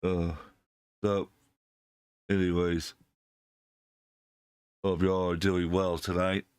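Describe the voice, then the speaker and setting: A man hosting his channel while sick, his voice deepened by illness, talking casually to viewers with many fillers and restarts into a desk microphone that clips when he is too close. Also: completely flat delivery, slow pace, darkish tone, smooth, no echo. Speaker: channel host.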